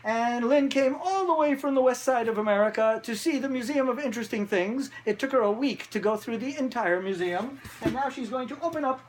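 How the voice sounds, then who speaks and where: A man's voice: extremely high pitch, fairly quick, hardly any pauses, low-quality recording. A man chatting casually and jokily to camera as a museum presenter. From New York City. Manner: playful, jokey, chatty. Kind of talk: casual unboxing chat to camera. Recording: clear background noise, live ambience.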